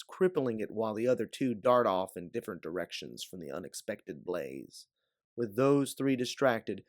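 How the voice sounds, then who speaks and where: A man reading scripted second-person story narration as an audiobook narrator, in a dramatic, deliberate way. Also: lowish voice, no echo, studio quality.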